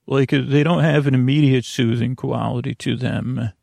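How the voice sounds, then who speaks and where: A man hosts a sleep podcast in a gravelly, creaking voice at a middling pitch. He meanders through a bedtime monologue, halting, restarting and wandering off topic. The sound is dry, with no room echo. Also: steady tone, a little mellow. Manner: halting, self-interrupting, trailing into tangents.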